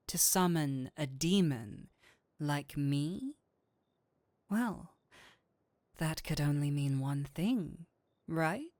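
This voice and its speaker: A man plays a seductive, teasing incubus demon speaking straight to the listener in an audio roleplay. His delivery is theatrical and very slow, lingering over words with a flirtatious lilt. The voice sits close and dry on the mic. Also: on the high side, darkish tone, no room sound. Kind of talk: scripted roleplay audio drama, addressing the listener.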